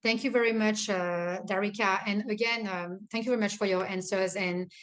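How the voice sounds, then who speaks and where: A woman's voice: mid-range voice, steady tone, moderate pace. A woman moderating a panel discussion, speaking unscripted and stressing points through repetition.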